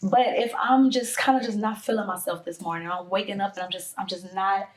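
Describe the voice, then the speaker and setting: Animated woman in casual talk. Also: mid-range voice, a little fast. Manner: animated, acts out other voices. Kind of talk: casual roundtable chat.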